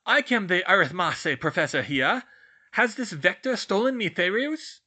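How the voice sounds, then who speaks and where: Man putting on a loud, paranoid old medieval woman. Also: sits high, very animated, measured pace, pitched above the performer's own voice, exaggerated voice. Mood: indignant, paranoid. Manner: loud, indignant, archaic Middle English phrasing.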